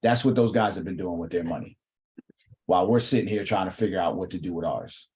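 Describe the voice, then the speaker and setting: A man's voice: low voice, quick pace, darkish tone. Slangy, swearing man hosting a livestream. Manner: loose and slangy, swears freely. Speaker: host. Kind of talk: casual livestream chat.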